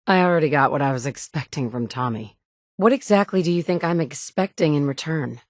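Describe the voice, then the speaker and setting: A woman's voice: low voice, lively intonation, brisk, no room sound, clean studio sound. A woman voicing a tough, blunt female survivor in a game, with curt, commanding lines. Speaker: player character. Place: recording studio. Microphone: close studio mic.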